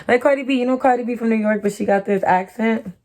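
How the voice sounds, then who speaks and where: A woman's voice: fairly high pitch, fast talker, a little mellow. A young woman from Connecticut with her own distinctive American accent, telling a candid, profane storytime, full of "like" and "you know". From Connecticut, USA. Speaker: YouTuber.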